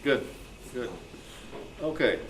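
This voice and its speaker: A man's voice: mid-range voice, takes it slowly, fairly bright tone, a little hiss or hum, low-quality recording. A man informally moderating a meeting and inviting questions and responses from the room.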